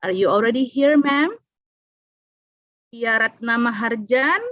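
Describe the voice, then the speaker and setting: A woman's voice: a little high, measured pace, a bit bright, no echo. Hesitant woman moderating a video call. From Indonesia. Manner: many fillers and restarts, laughs often. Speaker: conference moderator. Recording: dull video call audio.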